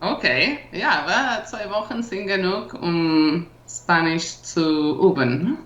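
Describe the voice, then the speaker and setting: A woman's voice: on the low side, expressive, takes it slowly, a bit bright. A woman speaking as a non-native learner with a foreign accent, chatting casually and searching for words. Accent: non-native, foreign-accented German. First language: not German; learned it as a foreign language. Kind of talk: casual language-practice chat. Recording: echoey room, muffled audio.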